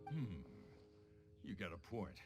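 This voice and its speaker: A crude, blustering bandit boss. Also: male voice, deep voice, sing-song, takes it slowly, heavily acted. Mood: scheming. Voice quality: rough street-thug diction. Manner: crude, bossy, swinging into loud shouts. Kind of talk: animated video game acting. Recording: music behind the voice.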